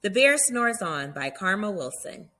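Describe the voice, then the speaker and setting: A woman reading a picture book aloud for young children in an animated, dramatic storytelling manner, drawing out key words. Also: mid-range voice, unhurried. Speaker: children's read-aloud host.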